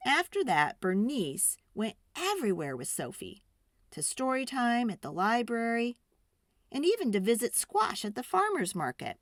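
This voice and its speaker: A woman reading a children's storybook aloud in a dramatic, expressive and deliberate narration. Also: fairly high pitch, no echo, studio quality.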